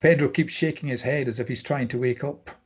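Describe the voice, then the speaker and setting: Husky male screenplay narrator. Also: medium pitch, brisk. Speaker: narrator reading stage directions.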